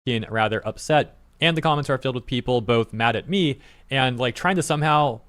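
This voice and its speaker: A young man talks through online drama for a reaction channel in a blunt, slightly sarcastic tone. His voice sits at an ordinary middle pitch, and the sound is dry and close with little room around it. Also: brisk, a bit bright, clean tone, no echo. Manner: blunt, exasperated, mildly sarcastic. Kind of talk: casual commentary, reading comments aloud.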